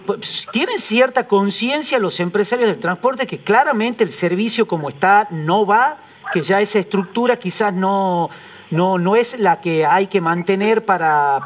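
A middle-aged Argentine man interviewing on live news, putting his questions tentatively and politely, with many repetitions. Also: sits high. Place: TV news studio.

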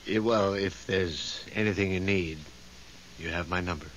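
An actor playing a polite, awkward, hesitant gentleman courting a widow in a 1970s radio drama. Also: sits low, steady tone, normal speed, highs cut off. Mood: awkward, courteous. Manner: hesitant, mannerly. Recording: faint hiss, 1970s radio.

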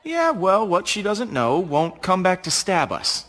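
Laid-back game adventurer, joking and animated. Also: male voice, sits high, measured pace, in a large hall, faint music behind, exaggerated voice. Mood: reassuring. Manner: joking, casual. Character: wisecracking easygoing human space adventurer. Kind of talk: video game acting.

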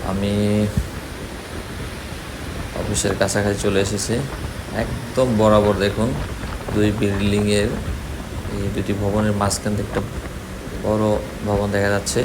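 Male vlogger, Bangladeshi accent, lowish and very monotone, casual on-the-road commentary from a motorbike, clear vehicle noise. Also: measured pace, pauses often. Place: outdoors on a moving motorbike in city streets.

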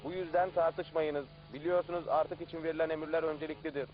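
A man's voice: a little high, flat delivery, fast, natural voice. A man voicing a stern official who relays orders in a dubbed cartoon, clipped, formal and authoritative. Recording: dull cartoon soundtrack under clear music.

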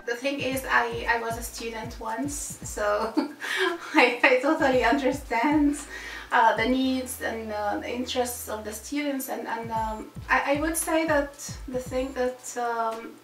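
A woman's voice: on the high side, unhurried, fairly bright tone. Woman, middle-aged, Arabic speaker, hesitant and thoughtful, recorded a bit far off in a roomy office. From Egypt. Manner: thoughtful, many fillers and restarts. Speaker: Arabic teacher. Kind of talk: interview answers. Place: office with posters, indoors. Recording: roomy, distant, music behind.

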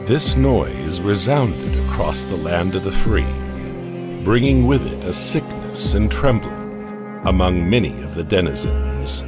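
A man narrating a horror audio comic in a slow, measured, ominous way. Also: sits low, sing-song. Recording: narration over loud music.

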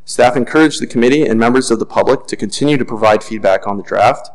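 A man on city planning staff reading a formal report in a measured way, with occasional fillers, at a desk microphone in a council chamber. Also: mid-range voice, low-quality recording.

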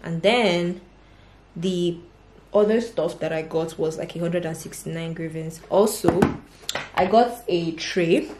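A woman's voice: lowish voice, takes it slowly, a little mellow, a little hiss or hum. A woman in her twenties chatting casually to camera in her apartment, upbeat and rambling with fillers. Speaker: vlogger. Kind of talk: casual vlog chat to camera. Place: small furnished apartment living room. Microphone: camera at arm's length.

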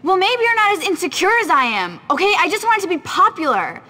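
Game role, insecure schoolgirl: upset, self-pitying, high bright voice, echoing space. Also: big pitch swings, brisk, exaggerated voice. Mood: distraught, self-pitying. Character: distraught, insecure popular teenage schoolgirl. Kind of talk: video game cutscene acting. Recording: reverberant hall.

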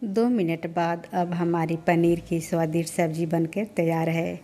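A woman hosting a cooking channel, giving calm step-by-step recipe instructions as a voice-over. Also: fairly low pitch, normal speed, faint music behind.

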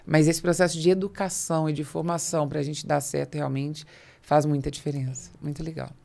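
A woman's voice: sits low, flat delivery, brisk, gravelly, a little mellow. A middle-aged Brazilian woman hosting a business podcast, explaining her points emphatically with repetition for stress. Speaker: podcast host. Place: small padded podcast studio. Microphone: close studio mic on boom arm.

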